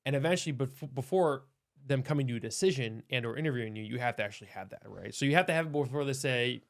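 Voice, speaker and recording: Male doctor, 30s, mid pitch, thoughtful interview guest who restarts often. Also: fast, creaky. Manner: thoughtful, many restarts and hedges. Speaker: osteopathic doctor, podcast guest. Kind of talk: casual interview talk.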